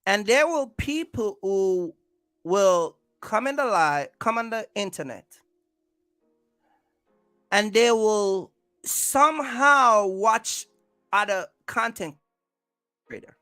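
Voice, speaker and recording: Livestream host, slow pace, wide lively pitch, emphatic repetition, casual rambling. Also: dry recording, faint music behind. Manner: emphatic, repeats phrases and restarts often. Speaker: livestream host, content creator.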